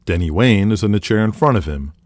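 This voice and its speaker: A man narrating an audio drama in present tense with vivid, deliberate storytelling. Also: fairly low pitch, lively intonation, darkish tone, no echo, clean studio sound.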